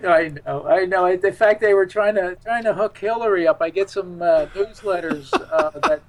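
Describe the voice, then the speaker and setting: An American man in his seventies with a fairly high-pitched voice. He talks as an interview guest, reminiscing in a loose, halting ramble. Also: expressive, slight background noise, low-quality recording. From Philadelphia, United States. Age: 70s. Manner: rambling, halting, chuckles while talking. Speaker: interview guest, UFO witness.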